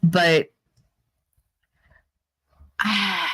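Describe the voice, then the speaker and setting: A woman's voice: on the low side, steady tone, extremely slow, pushed and bright, husky, no echo. A woman hosting a vlog, giving blunt, rambling opinions with plenty of swearing. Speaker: vlog host. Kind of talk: casual opinion monologue.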